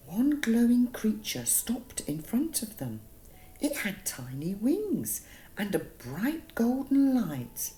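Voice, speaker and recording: A woman narrating a gentle bedtime story for children, soothing and deliberate, with dramatic emphasis on single words. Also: a little high, wildly swooping pitch, poor audio.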